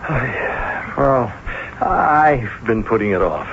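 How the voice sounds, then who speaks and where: An actor playing a timid, soft-hearted banker and cowed younger brother in an old-time radio drama, hesitant and apologetic. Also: male voice, mid-range voice, animated, takes it slowly. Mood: hesitant, anxious. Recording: dull, hissy old radio recording.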